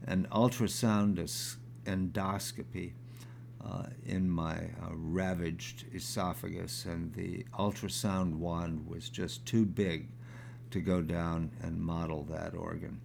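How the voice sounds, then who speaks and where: Gravelly, slow older man in his sixties. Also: deep voice, flat delivery, a little mellow, slight background noise. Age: 60s. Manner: halting with fillers when talking, measured when reciting. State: crackly, gravelly voice. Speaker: poet. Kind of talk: reading his own poem aloud.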